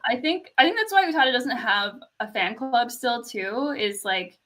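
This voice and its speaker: A young woman from Canada with a fairly quiet speaking voice, telling a story in casual chat with lots of "like" and laughter. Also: a little high, expressive, moderate pace. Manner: storytelling, laughs often, says "like" a lot.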